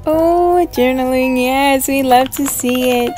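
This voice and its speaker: A woman hosting a casual, chatty reaction video, gushing and excitable, often repeating words for emphasis. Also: high voice, takes it slowly, music in the background. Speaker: host of a reaction video series.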